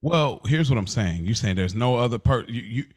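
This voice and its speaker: A man's voice: mid-range voice, lively intonation, normal speed, darkish tone, no echo. Questioning man in casual debate. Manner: questioning, challenging, rephrases his points. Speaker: podcast co-host.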